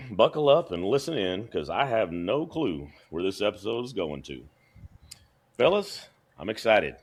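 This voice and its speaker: Male podcast host, very low voice, lively swings, folksy banter. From Kentucky. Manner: jokey, animated, folksy. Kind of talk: casual chat. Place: podcast studio.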